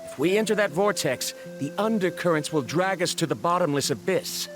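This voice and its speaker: A man plays a learned young scholar in a video game, formal and unwilling to give ground. His fairly high voice rises and falls as he lays out his reasoning, with soft game music under him. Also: lively intonation, fairly quick, lightly acted. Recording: game audio with faint music.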